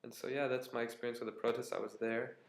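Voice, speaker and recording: A young American man telling stories to his vlog camera in a tired, rambling, relaxed way, indoors at a friend's apartment. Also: mid-range voice, fast. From United States. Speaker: vlogger. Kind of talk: casual storytelling vlog. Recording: echoey room.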